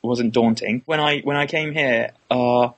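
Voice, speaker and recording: A man's voice: mid-range voice, steady tone, moderate pace, fairly bright tone. A young man in his twenties from Finland, speaking with a Finnish accent as a non-native speaker, giving hesitant interview answers full of restarts and fillers. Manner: hesitant, repeats words, many "kinda like" fillers. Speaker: illustration student, interviewee.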